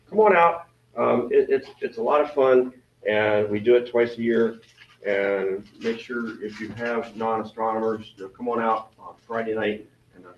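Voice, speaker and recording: A deep-voiced man gives club meeting announcements in a rambling, joking, self-deprecating way. He is picked up from across an echoey room. The sound is dull and muffled. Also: slight background noise. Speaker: event committee member. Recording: roomy, distant, dull meeting recording.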